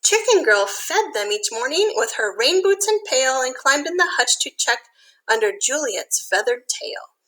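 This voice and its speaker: A woman reading a picture book aloud to young children, expressive, with simple explanatory asides. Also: high-pitched, normal speed, poor audio.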